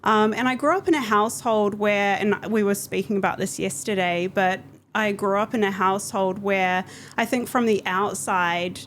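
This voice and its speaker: A woman's voice: medium pitch, steady tone, moderate pace, hardly any pauses, a bit bright. Female, New Zealand accent, mid-range voice, reflective interview storyteller, slight noise behind her. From Auckland, New Zealand. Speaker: podcast guest, business coach.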